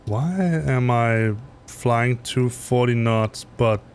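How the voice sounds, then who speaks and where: A man's voice: mid-range voice, slow pace, slight background noise. A middle-aged Danish man with a Danish accent chatting on a live stream while flying a simulator, rambling and correcting himself with many fillers. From Denmark. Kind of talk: casual live-stream chat. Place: home basement room.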